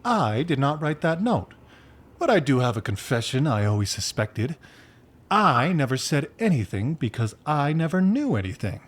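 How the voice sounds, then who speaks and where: An actor playing a dramatic, needy, exasperated geeky best friend in a game, with a theatrical, emphatic, rambling delivery. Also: male voice, fairly high pitch, very animated, moderate pace. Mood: earnest, rambling.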